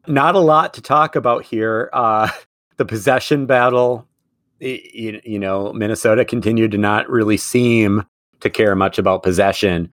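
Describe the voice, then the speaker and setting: A low-voiced man co-hosting a casual sports podcast, chatty and quick to laugh. His voice sits close and dry, with no room sound around it. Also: fairly bright tone, no echo. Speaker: podcast co-host; middle school teacher.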